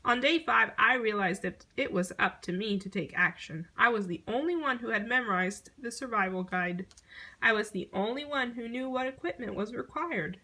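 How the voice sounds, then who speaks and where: A woman reading a children's picture book aloud in an expressive storytelling manner. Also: on the high side, moderate pace, rough recording. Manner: expressive storytelling, acting out short exclamations.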